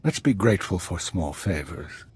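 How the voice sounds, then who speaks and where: An actor playing an older, retired spymaster in a video game, composed and dry, with measured formal phrasing. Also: male voice, deep voice, expressive, slightly rough, dry recording, exaggerated voice. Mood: wry, composed.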